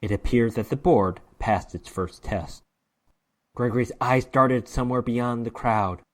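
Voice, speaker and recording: A man's voice: mid-range voice, a little slow. A man narrating a horror short story as a dramatic reading, with theatrical, emphatic delivery.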